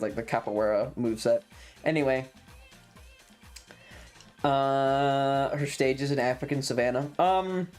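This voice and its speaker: A mid-pitched streamer of androgynous voice chats casually, swearing freely and retelling things with sardonic, acted-out dialogue. Video game music plays behind the voice. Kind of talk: casual storytelling to stream chat.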